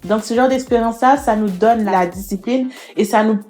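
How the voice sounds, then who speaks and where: A woman's voice: on the high side, moderate pace, a little mellow, faint music behind. A young woman singer, answering interview questions casually, with hesitant fillers and restarts.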